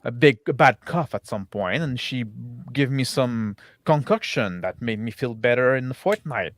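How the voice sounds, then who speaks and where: A man's voice: medium pitch, lively intonation, moderate pace, dry recording, studio quality, lightly acted. A man voicing a stocky, defensive village blacksmith in a tabletop game, rambling and swearing bluntly. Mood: earnest, defensive.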